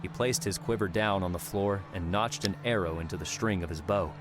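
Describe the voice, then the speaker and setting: Male narrator, very low voice, measured dramatic reading, music playing underneath. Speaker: audiobook narrator. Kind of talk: scripted audiobook narration. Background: background music.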